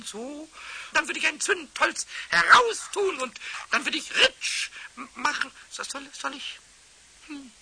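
A man voicing a cheeky, excitable little male kobold in a children's radio play, with a squeaky voice, babbling and repeating words to himself. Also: full character voice. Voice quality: squeaky, shrill, creaky. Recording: hissy 1970s radio play tape.

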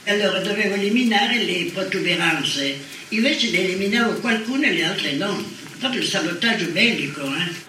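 A woman's voice: medium pitch, lively intonation, moderate pace, bright, forceful tone. An older woman from Italy recalling her past in a conversational testimony. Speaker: former partisan giving testimony. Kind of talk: personal oral-history testimony. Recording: echoey room, distant mic, faint noise.

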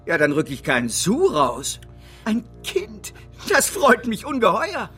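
Imperious financier, husky, highly animated, volatile, theatrical, radio drama. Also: male voice, a little slow, soft background music, exaggerated voice. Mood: delighted. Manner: theatrical, swinging from hushed to explosive. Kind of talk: German radio drama acting.

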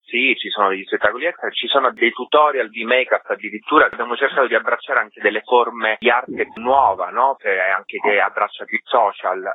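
A man's voice: medium pitch, fairly quick, few pauses, husky, no room sound. A man speaking as an interview guest, explaining a project in a chatty way that seeks agreement. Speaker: festival organiser, interview guest. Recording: tinny phone line, dry.

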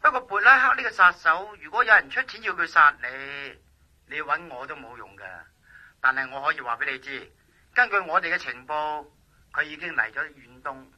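電話入面響亮尖銳、官腔十足嘅警官. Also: male voice, a little high, lively intonation, fairly quick, pushed and bright, heavily acted. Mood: cautioning. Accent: Hong Kong Cantonese. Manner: pompous, officious, emphatic. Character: brash, self-important police special investigations lieutenant colonel. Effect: telephone filter. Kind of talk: radio drama acting. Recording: muffled telephone-line sound.

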